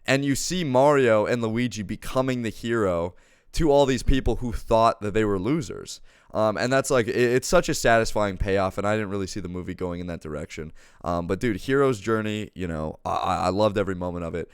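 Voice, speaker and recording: A man's voice: lowish voice, fairly quick, clear, resonant tone, no room sound, clean studio sound. A man co-hosting a casual, enthusiastic review, speaking effusively with slangy wording and emphatic repetition. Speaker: co-host giving a movie review.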